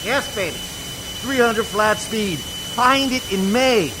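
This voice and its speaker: A clipped military fighter pilot played by a man in an amateur radio comedy. The voice is very high for a man and delivers terse combat calls over clear jet engine noise. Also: lively intonation, slow pace. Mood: urgent.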